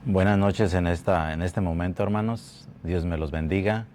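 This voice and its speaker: A man from Mexico telling his life testimony at a church, speaking slowly and haltingly, often repeating words. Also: deep voice, steady tone, a little mellow, a little hiss or hum. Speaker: guest giving testimony. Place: church building.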